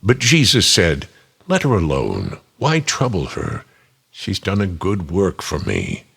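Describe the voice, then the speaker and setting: Man, low husky voice, lively intonation, solemn dramatic scripture reading, unhurried.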